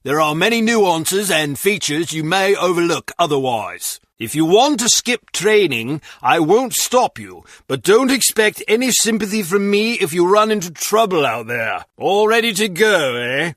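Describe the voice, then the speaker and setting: A man's voice: high-pitched, very animated, measured pace, few pauses, a bit bright, no echo, exaggerated voice. An actor playing a stern, dry male staffer at a spy agency in a video game, admonishing as he briefs. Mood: dry, advising. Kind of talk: scripted video game voice lines.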